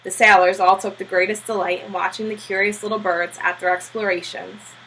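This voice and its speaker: A woman reading a children's novel aloud as a storybook narrator, with a husky voice. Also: medium pitch, flat delivery, a little fast, a bit bright, low-quality recording. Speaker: storybook reader.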